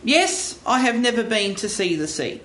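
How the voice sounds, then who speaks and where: A woman's voice: a little high, very animated, normal speed, fairly bright tone. A woman playing a meek, dutiful office clerk in a radio play, speaking politely and hesitantly. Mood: wry, sheepish. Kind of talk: stage radio play acting. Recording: roomy live studio, faint noise.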